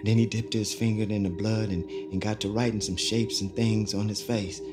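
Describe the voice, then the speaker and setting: A man playing a folksy, wide-eyed country fellow in an audio drama, with rustic, halting, excitable speech. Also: on the low side, close to an everyday voice. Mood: breathless wonder. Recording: music behind the voice.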